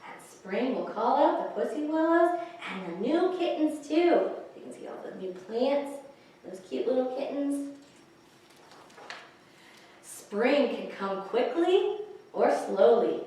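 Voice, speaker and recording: Woman, children's story time reader, slow unhurried pace, higher-pitched voice, very echoey room. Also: lively intonation, mellow. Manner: explains pictures, asks young listeners questions. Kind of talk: reading picture books aloud to young children, with asides. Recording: echoey, distant microphone, faint hiss.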